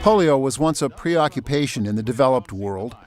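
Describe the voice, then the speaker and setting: Calm male documentary narrator. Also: medium pitch, a little fast, over loud music.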